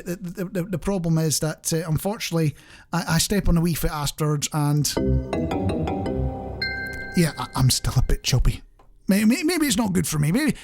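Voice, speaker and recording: British man, chatty, lively radio host. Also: high voice. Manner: rambling, self-deprecating, conversational. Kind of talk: casual chatty radio presenting.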